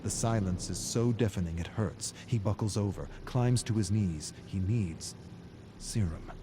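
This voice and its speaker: A man giving a dramatic scripted story narration, brooding and deliberate, in short phrases with weighty pauses. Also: lowish voice, background noise.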